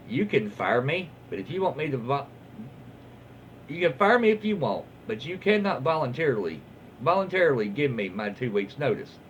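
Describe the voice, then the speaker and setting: Animated Southern American man reading stories aloud. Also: medium pitch. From southern United States. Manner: folksy, informal, stumbles over words while reading. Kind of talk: casual read-aloud with off-the-cuff commentary. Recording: muffled lo-fi audio, faint background noise.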